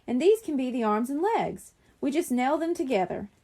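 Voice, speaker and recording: Woman reading as a confident, animated young girl. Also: high-pitched, moderate pace. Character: confident, bossy young girl organizing her friends. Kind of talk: children's audiobook character dialogue.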